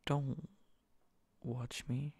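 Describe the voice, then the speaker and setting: A young man casually commenting while he plays a game on his computer, excitable and jokey, jumping from loud bursts to quiet mumbles. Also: medium pitch, extremely slow, creaky, breathy edge. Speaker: gaming YouTuber.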